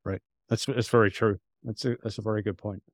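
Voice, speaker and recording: A man's voice: lowish voice, fairly even intonation, brisk, creaky, dry recording, studio quality. A man hosting a podcast interview in a conversational, agreeable and affirming way. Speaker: podcast host.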